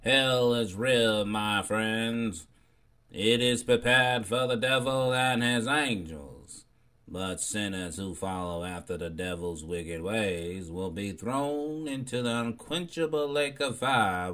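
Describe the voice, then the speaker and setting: Man acting a slow, grave hellfire preacher. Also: lowish voice, fairly bright tone. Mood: solemn warning. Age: middle-aged. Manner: solemn, deliberate. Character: grave, stern hellfire preacher warning sinners. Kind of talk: dramatic sermon monologue for a voice reel. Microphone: close studio condenser mic.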